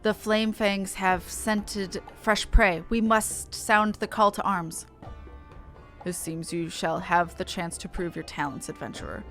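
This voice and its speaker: A woman's voice: mid-range voice, steady tone, fairly bright tone, soft background music, lightly acted. A woman voicing a stern, proud beastman war leader in a game, speaking solemnly and formally. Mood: grave, solemn. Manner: solemn, slow, formal delivery. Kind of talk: reading game dialogue in character.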